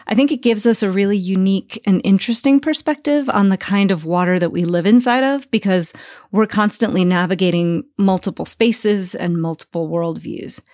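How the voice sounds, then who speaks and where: A woman who grew up in New Jersey and Tanzania, hosting a podcast conversation and speaking in a measured, articulate way. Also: mid-range voice, darkish tone, dry recording, clean studio sound. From New Jersey, USA, raised partly in Tanzania. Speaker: podcast host. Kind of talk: reflective conversation.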